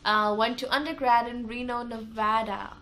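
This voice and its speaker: A woman's voice: fairly high pitch, fairly even intonation, moderate pace, a bit bright. A woman in her twenties from Pakistan, a first-year medical resident co-hosting a podcast, introducing herself in a chatty, giggly way in a small office kitchen. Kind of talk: casual chat.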